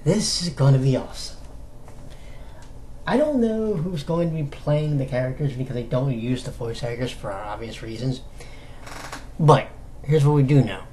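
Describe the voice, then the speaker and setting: An American man giving casual fan news commentary as a solo video host, in a halting, conversational manner with rhetorical questions. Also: mid-range voice, dark tone. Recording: noticeable background noise.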